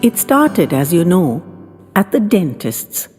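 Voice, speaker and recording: A woman's voice: on the low side, very animated, slow, darkish tone. A woman narrating a scripted first-person story as an elderly widow reminiscing, in a chatty, wry manner. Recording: long reverberant hall sound, faint music.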